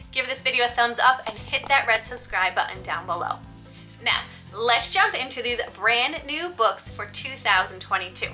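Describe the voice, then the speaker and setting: Bright, high-voiced woman presenting children's books. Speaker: book reviewer and host for teachers. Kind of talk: upbeat scripted book review narration to viewers.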